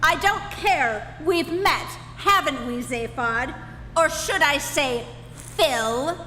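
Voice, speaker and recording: A woman playing a disgruntled, put-upon Earthman in a live stage radio play, dry and aggrieved in a deliberate delivery. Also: sits high, lively intonation, pushed and bright, full character voice. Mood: accusing. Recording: echoey hall, music behind.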